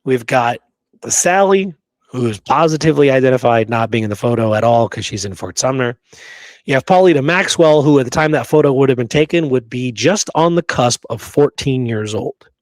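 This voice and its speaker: A man's voice: mid-range voice, lively intonation, a little fast, dry recording. Emphatic man talking history, close dry sound. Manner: emphatic, often tagging statements with "right?". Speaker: podcast co-host. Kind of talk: casual opinionated history discussion.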